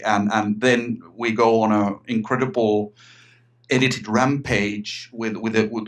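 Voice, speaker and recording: A middle-aged man from Finland with a Finnish accent, talking eagerly as an interview guest and often repeating words as he restarts. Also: mid-range voice. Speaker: guest, writer and filmmaker. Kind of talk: casual interview chat.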